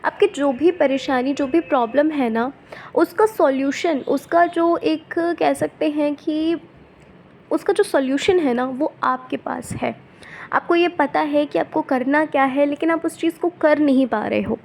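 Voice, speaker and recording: A woman tarot reader with a sore throat, speaking softly and gently, often checking in with her viewers. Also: unhurried, gravelly. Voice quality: soft and low-volume.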